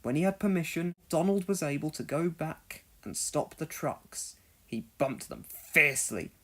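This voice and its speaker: A narrator reading a children's read-along story expressively, in deliberate phrases. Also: mid-range voice, animated, a bit bright.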